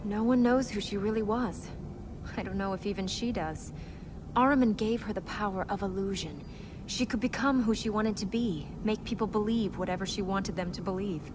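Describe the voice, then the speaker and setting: An actress playing an earnest, thoughtful young princess in a video game, speaking seriously and with measured care. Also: female voice, mid-range voice, lightly acted. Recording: clear background noise.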